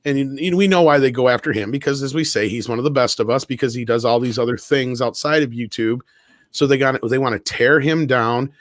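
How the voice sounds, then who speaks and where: A man's voice: medium pitch, a little fast, no echo. Chatty man in dry, close sound. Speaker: online talk show panelist. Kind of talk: casual panel chat.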